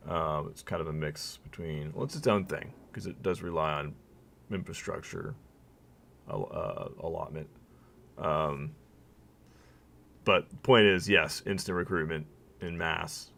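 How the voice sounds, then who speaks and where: A man's voice: deep voice, creaky, a bit bright, slight background noise. A man streaming a strategy game live, thinking aloud and reading game text to his viewers, his voice weak and worn out. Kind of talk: live gameplay commentary.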